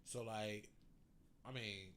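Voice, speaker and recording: A man's voice: lowish voice, fairly even intonation, slow, a bit bright. An American man co-hosting a podcast, talking casually and emphatically in slangy, profanity-laced speech. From United States. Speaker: podcast co-host. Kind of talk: casual, animated back-and-forth conversation. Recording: clearly audible background noise.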